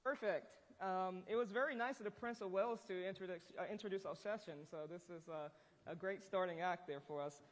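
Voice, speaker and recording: A woman's voice: lowish voice, brisk. A woman moderating a business panel, chatty and loose with many fillers. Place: conference hall. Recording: long reverb in a large hall.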